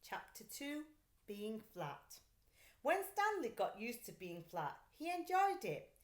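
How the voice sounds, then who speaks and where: A woman reading a children's story aloud like a teacher, in an expressive, deliberate, carefully phrased way. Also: a little high. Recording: echoey, roomy sound.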